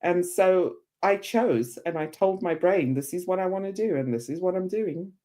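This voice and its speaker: Woman, 50s, from Zimbabwe, low voice, animated guest storyteller. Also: moderate pace. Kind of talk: podcast interview.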